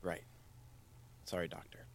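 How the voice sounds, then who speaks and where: A man playing a skeptical, stern father who works as a scientist in an audio drama, with a gravelly voice. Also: a little slow, slight background noise, lightly acted. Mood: subdued. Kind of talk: student audio drama acting.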